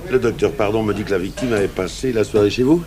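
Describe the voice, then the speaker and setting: Seasoned police commissioner played by a male actor, very low voice, terse and unhurried questioning, vintage radio crime serial. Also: music in the background, close to an everyday voice. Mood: probing, measured. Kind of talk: 1980s French radio crime drama acting. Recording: faint noise, old radio broadcast recording.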